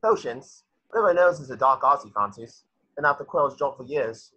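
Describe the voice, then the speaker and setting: Man as officious teenage prefect, very forceful voice. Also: mid-range voice, animated, fairly quick, slightly rough, dull audio. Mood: informative. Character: self-important teenage boy school prefect. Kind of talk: table read of a film script.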